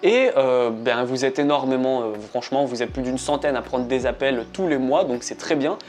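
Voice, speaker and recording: A man's voice: mid-range voice, moderate pace, darkish tone. A man speaking directly to viewers as a trading coach, giving a conversational promotional explainer with repetition and rhetorical questions. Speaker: trading coach and video presenter.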